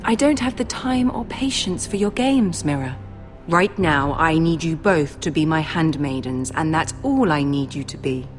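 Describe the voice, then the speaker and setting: Imperious young noblewoman, suspicious, formal, slightly low voice, fantasy game with faint score. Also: expressive, moderate pace. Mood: stern, reproachful. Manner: formal, commanding, clipped. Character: stern, suspicious young noblewoman scolding her handmaidens. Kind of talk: video game drama acting. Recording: soft music behind.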